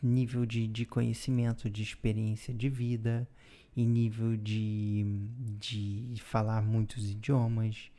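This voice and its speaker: A man, co-hosting a podcast and explaining things in a calm, casual way, often ending with a questioning tag. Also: fairly low pitch, slow. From Brazil. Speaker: podcast co-host. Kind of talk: casual conversational storytelling.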